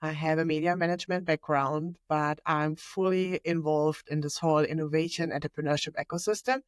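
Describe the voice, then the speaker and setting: A woman's voice: deep voice, level tone, brisk, dry recording. A woman with a German accent, speaking English as a second language, answers as a podcast guest. She talks eagerly and fluently, with small grammar slips along the way. Her voice sits close to the mic in a dry room. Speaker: podcast guest, innovation manager. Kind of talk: conversational interview.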